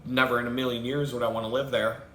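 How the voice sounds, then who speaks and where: A man's voice: medium pitch, flat delivery, fast talker, a bit bright. A man hosting his own video, talking in a rambling, profane rant, swearing heavily and laughing at his own jokes. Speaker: vlogger host. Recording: roomy with faint background noise.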